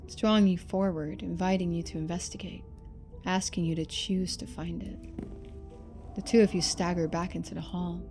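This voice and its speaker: A woman's voice: lowish voice, steady tone, normal speed, over music. A woman reading game narration expressively and adding casual commentary as a streamer.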